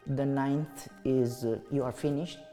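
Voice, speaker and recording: Middle-aged Italian man, accented English, hesitant interviewer with a warm, dark tone, recorded in a reverberant space with faint music. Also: medium pitch, fairly even intonation. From Italy. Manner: halting, many filler sounds. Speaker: interviewer and translator.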